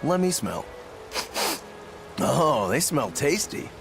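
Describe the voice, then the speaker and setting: Animated, breezy swordsman. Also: a little high, slow pace, creaky, background noise, heavily acted. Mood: excited, argumentative. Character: easygoing, boyishly enthusiastic adult swordsman. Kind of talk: English video game voice acting.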